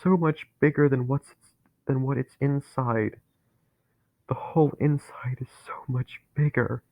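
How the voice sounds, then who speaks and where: A man voicing a dying young man who is terrified and bitter, talking in a strained, pleading way that rises to anguished shouts. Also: on the low side, steady tone, slow, gravelly, no room sound, heavily acted. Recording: tinny phone-like, dry sound.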